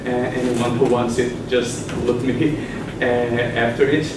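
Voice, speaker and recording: A man's voice: mid-range voice, little pitch movement. Brazilian man presenting at a conference. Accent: Brazilian Portuguese. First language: Portuguese. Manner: halting, many pauses and fillers. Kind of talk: academic conference talk. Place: conference session room. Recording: echoey room from far off, noisy.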